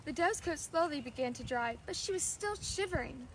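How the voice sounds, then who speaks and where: A woman's voice: sits high, expressive, moderate pace, a little hiss or hum, low-quality recording. A woman storyteller reading a children's fairy tale aloud.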